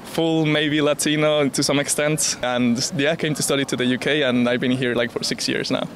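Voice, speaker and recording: A man's voice: mid-range voice, steady tone, moderate pace. A young man from Mexico answers a street interviewer in a city plaza. His voice sits in the middle of the range, and he talks in a thoughtful way full of fillers. A faint street hum runs underneath. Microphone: handheld mic held by interviewer.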